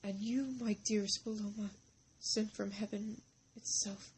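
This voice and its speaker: A woman playing an older, gentlemanly crime boss in a fan comic drama, fatherly and sentimental, with courtly, halting phrasing. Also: medium pitch, little pitch movement, slight background noise, lightly acted, rough recording. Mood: tender, wistful.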